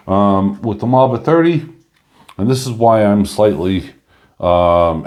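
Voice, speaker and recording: A man chatting casually through a coin review, rambling with lots of fillers and a slightly hoarse voice. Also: low-pitched, mellow.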